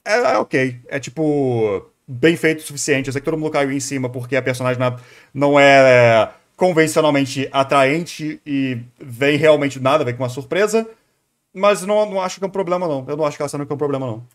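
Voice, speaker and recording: A man's voice: medium pitch, fast talker. A Brazilian man chatting casually and informally as a live streamer, rambling through his commentary. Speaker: live streamer reacting to a video. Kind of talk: casual livestream chat and commentary.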